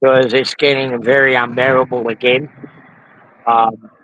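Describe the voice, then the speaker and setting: An Australian man hosting a casual live stream outdoors, rambling with lots of ums while his voice goes hoarse. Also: mid-range voice, flat delivery, measured pace, a bit bright, highs cut off. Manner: rambling, frequent ums and restarts. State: losing his voice from the heat. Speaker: model railroading channel host. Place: outdoors at a roadside truck convoy.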